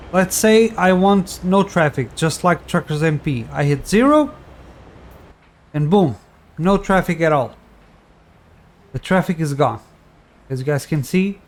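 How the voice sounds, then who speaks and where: Brief: Portuguese-native man, slightly higher voice, loose rambling tutorial delivery. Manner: relaxed, rambling, frequent fillers and restarts. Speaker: tutorial host. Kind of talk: casual screen-recorded game tutorial.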